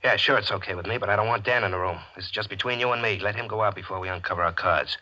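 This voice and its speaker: An actor playing a bitter, desperate gambler who tells his own story in a dramatic, deliberate 1940s radio mystery style. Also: lowish voice, pushed and bright. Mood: defensive, agitated. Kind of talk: 1940s radio mystery acting, first-person narration. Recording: dull 1940s radio recording, organ music.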